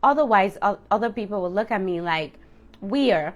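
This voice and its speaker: A woman's voice: medium pitch, lively intonation, normal speed. A woman of thirty-nine giving a personal motivational talk to viewers as a self-love coach, speaking in a candid, reflective and emphatic way. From Dominican household.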